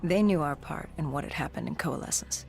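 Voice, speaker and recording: Someone acting a haunted game soldier telling old mission stories, grave n measured, music underneath. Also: medium pitch, vocal fry, darkish tone, lightly acted. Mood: brooding, suspicious. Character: augmented soldier recounting past missions, haunted and resolute. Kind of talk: dramatic game cutscene narration.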